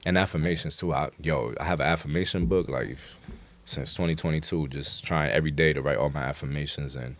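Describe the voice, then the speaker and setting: A man's voice: very deep voice, fairly even intonation, fairly quick, no echo. A man chatting casually as an interview guest, explaining things earnestly in street slang. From New York City.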